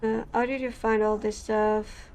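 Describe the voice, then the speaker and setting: Slow, creaky woman. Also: on the high side, level tone, a little mellow, slight background noise. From Portugal. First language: Portuguese. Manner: halting, with many fillers and restarts. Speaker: gaming content creator. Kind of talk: reading game text aloud and chatting while playing.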